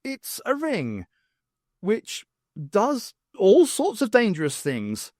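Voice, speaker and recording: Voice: medium pitch, huge pitch swings, slow pace, a little mellow, no room sound, studio quality, voice pushed up, full character voice. A reader voicing a flustered, sardonic woman adventurer who is sarcastic and prone to exclamations. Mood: defiant.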